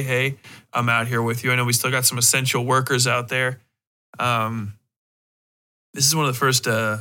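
A man hosting a podcast, chatting casually and rambling in a halting, conversational way. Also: mid-range voice, sharp tone. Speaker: podcast host. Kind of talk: casual rambling solo chat.

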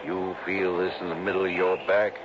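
A man's voice: low-pitched, monotone, normal speed, natural voice. An actor putting on a menacing, slangy American mob thug, a crude comic tough guy in a 1960s radio drama. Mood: menacing, mocking. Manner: slangy, threatening, ends phrases with "see?". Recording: dull, noisy old radio recording.

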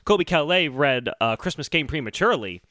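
A man with a bright, high voice hosts a casual comedy podcast. His pitch moves around a lot as he hunts for the right phrase, and the sound is dry and close. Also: expressive, brisk, no echo. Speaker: podcast host.

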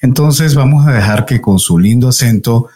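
A man co-hosting a podcast, introducing a guest with a measured, storytelling delivery. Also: medium pitch, dark tone. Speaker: podcast co-host.

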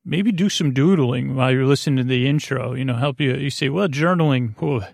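Man, mid-pitched, creaky, meandering bedtime podcast host, halting, soothing, dry recording. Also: a little mellow, dry recording. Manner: meandering, halting, trailing off, repeating himself; soothing, low-pressure. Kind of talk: rambling bedtime monologue to help listeners sleep.

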